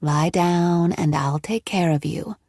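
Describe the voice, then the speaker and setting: A woman reader voicing a cold, calm woman who holds another woman captive, speaking in a measured, quietly threatening way. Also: fairly low pitch, no room sound, studio quality. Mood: menacing.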